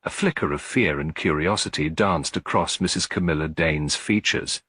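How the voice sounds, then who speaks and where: Deep, husky male storyteller. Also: normal speed, fairly bright tone, no echo, clean studio sound. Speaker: audiobook narrator. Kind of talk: scripted narration of classic detective fiction.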